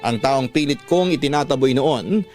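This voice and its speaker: A man's voice: mid-range voice, moderate pace, a little mellow. A man narrating a scripted first-person story as a radio drama host. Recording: background music under narration.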